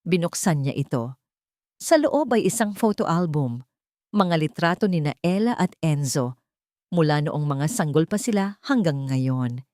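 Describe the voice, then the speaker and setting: A woman storyteller with a Filipino accent delivers dramatic scripted narration. Her voice sits on the low side and swings widely in pitch, recorded very dry and close. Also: animated, measured pace, darkish tone, no room sound.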